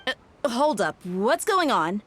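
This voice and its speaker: A woman's voice: on the high side, big pitch swings, slow, background noise. A woman voicing a frank, easygoing young mercenary in a video game, casual and blunt. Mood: casual, surprised. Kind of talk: English video game dub acting.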